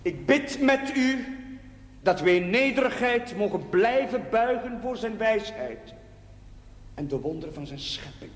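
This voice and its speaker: An actor playing a devout village priest in a television drama, preaching solemnly in measured, emphatic cadences. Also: male voice, sits high, animated. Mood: solemn, tender. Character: devout male village priest bidding his parish farewell. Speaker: parish priest. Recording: echoey church hall reverb, background hiss.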